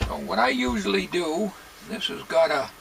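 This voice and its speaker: An older man talking casually as he works at a bench in a home workshop, plain-spoken and musing, with halting delivery. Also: fairly high pitch, very animated, creaky, fairly bright tone. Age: 60s or older. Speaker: hobbyist radio restorer. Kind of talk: casual talking while working. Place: cluttered home electronics workshop, indoors. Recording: noisy home workshop recording.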